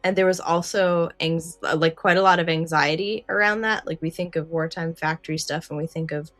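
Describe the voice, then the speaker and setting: A woman co-hosting a podcast, chatting casually and thinking aloud in an animated, conversational way. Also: low-pitched, brisk, dry recording, faint music behind. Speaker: podcast co-host. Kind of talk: casual podcast discussion of an old radio drama.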